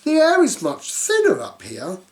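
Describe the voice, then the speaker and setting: A woman's voice: medium pitch, wildly swooping pitch, unhurried. A woman voicing a boastful, know-it-all little male inventor from a children's storybook, explaining things to show off.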